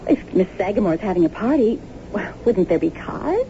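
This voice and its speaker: An actress playing a cheerful, polite and curious young wife in a naturalistic radio drama, chatty and easygoing. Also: female voice, medium pitch, big pitch swings, normal speed, warm tone. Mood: sleepy, chatty. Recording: muffled, noisy old radio broadcast.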